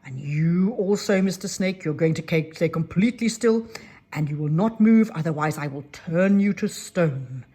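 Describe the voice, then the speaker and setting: A woman voicing a kind mountain fairy who speaks calmly and turns stern when giving commands. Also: lowish voice, measured pace, breathy edge, exaggerated voice. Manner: calm, then stern commands.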